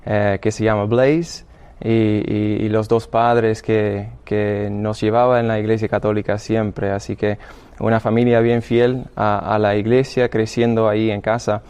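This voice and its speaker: A man's voice: lowish voice, little pitch movement, a little mellow, clear, resonant tone. American man, hesitant Spanish. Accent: American English. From Illinois, United States. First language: English. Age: 30s. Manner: halting, many restarts. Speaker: seminarian guest. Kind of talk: radio interview testimony.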